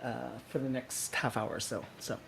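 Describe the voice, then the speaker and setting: A man hosting a podcast, talking casually and off the cuff in a halting, thinking-aloud way. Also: mid-range voice, level tone, a bit bright. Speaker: podcast host and improvising musician. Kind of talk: casual unscripted introduction. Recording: clearly noisy room sound.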